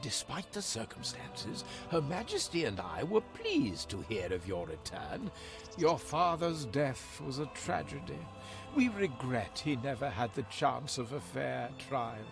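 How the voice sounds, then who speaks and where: Gravelly, courtly ambassador. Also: male voice, mid-range voice, wildly swooping pitch, unhurried, full character voice. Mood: gracious, formal. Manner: formal, urbane, diplomatic. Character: courtly, smooth-tongued male ambassador to the Queen. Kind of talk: video game cutscene acting. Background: music.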